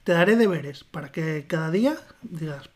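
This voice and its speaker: A woman's voice: low-pitched, expressive, normal speed, heavy background noise. Playful, sweary Spanish woman chatting. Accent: Spanish from Spain. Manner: joking, sweary, teasing. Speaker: podcast co-host. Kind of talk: casual humorous chat, reading out listener comments.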